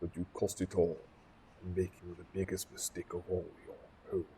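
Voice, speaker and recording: A man's voice: low-pitched, completely flat delivery, fairly quick, mellow, slight background noise, natural voice. An actor playing a proud, vengeful alien warrior general in an audio drama, pompous and threatening in his declamation. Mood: cold threat.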